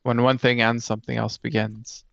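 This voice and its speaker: A man's voice: medium pitch, level tone, normal speed, gravelly, no echo. A man co-hosting a casual chat and brainstorming tabletop fantasy lore, on a dull, lo-fi close mic. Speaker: podcast co-host.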